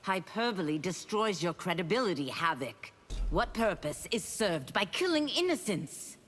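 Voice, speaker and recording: An actress playing a solemn, righteous warrior in a fighting game, speaking in measured moral reproach. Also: female voice, fairly low pitch, sing-song, a bit bright. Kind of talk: dramatic fighting-game acting. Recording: long reverb, faint noise.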